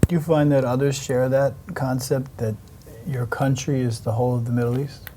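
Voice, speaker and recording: A woman co-hosting a podcast, asking brisk, guiding interview questions. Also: a bass voice, fairly even intonation. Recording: faint background noise.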